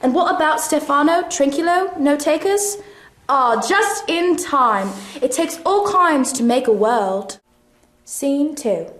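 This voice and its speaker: A woman plays a wry master of ceremonies for a puppet stage. The delivery is slow and theatrical in a high voice, in an echoey room. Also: expressive, fairly bright tone, heavily acted. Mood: wry, inviting. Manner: measured, theatrical. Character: wry master of ceremonies handing out masks to players. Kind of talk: stage puppet-play acting.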